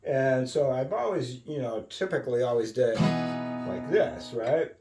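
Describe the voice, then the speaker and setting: A man giving an informal guitar lesson, thinking aloud in a musing, halting way and often checking in with a questioning tag. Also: medium pitch, animated. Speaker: guitar teacher. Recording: roomy, distant mic, loud guitar. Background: acoustic or electric guitar playing.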